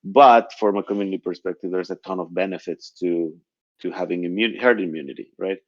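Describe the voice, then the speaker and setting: A man's voice: sits low, fairly quick, dry recording, lo-fi sound. A man on a video call, musing aloud and ending many points with a questioning tag. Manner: musing, frequent "right?" tags. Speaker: discussion participant. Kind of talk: casual video call discussion.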